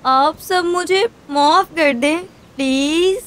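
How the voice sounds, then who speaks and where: Bright, very high-pitched impulsive little boy. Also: takes it slowly. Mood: contrite. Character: impulsive, boastful young schoolboy, contrite when caught. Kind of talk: children's cartoon dubbing.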